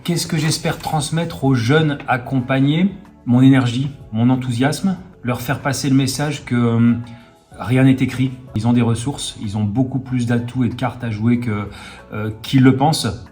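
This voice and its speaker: A man in his fifties giving an interview testimonial as a volunteer mentor, speaking in a halting way. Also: medium pitch, steady tone, a little mellow. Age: 50s. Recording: music behind the voice. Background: music.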